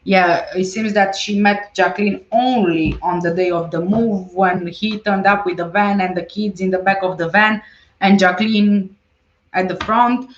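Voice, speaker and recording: A woman's voice: mid-range voice, steady tone, normal speed, echoey room, dull audio. A woman hosting a casual livestream, reading viewer comments aloud and answering them conversationally. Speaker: livestream host. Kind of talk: casual livestream chat about a case.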